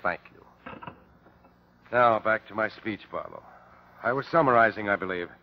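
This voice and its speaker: An actor playing a pompous, impatient archaeology professor, curt and dismissive, in an old-time radio drama. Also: male voice, fairly low pitch, normal speed, lightly acted. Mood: irritated. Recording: muffled, noisy old radio recording.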